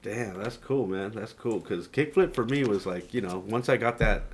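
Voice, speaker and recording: Casual podcast host, man, slightly low voice, easygoing and slangy. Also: moderate pace, a little hiss or hum. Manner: laid-back, slangy, encouraging. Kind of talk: casual interview chat.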